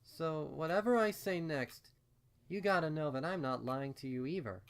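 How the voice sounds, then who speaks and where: A man voicing an earnest, anxious female pony in a storybook reading, with halting, stammering, insistent delivery. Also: on the high side, smooth, natural voice. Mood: defensive, earnest. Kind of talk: acted storybook dialogue in a fan fiction reading.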